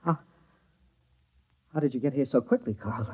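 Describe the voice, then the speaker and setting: A husky, desperate radio-thriller schemer. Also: male voice, mid-range voice, normal speed. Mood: tense, startled. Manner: urgent, bossy, clipped orders. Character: desperate, commanding male lover covering up a killing. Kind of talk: old-time radio thriller acting. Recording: dull, lo-fi old radio recording.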